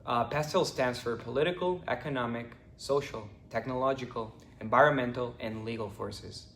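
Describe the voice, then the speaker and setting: A young man from Ecuador, a finance student, giving a prepared class presentation at a steady pace with the odd stumble. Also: mid-range voice, reverberant, a little hiss or hum. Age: young adult.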